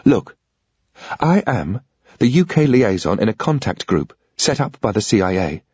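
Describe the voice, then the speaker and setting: A man's voice: medium pitch, big pitch swings, moderate pace, a little mellow, slightly rough, no room sound, muffled recording, voice pushed up. A man voicing a determined young British woman agent who talks in an insistent, earnest, persuasive way.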